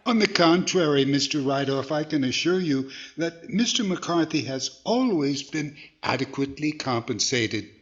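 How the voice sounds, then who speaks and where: A man plays a flustered, earnest straight man in old-time radio comedy. His voice is fairly high and lively, defending himself hesitantly, on a slightly dull recording. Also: normal speed, few pauses, close to an everyday voice. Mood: earnest protest. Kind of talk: 1940s radio comedy acting.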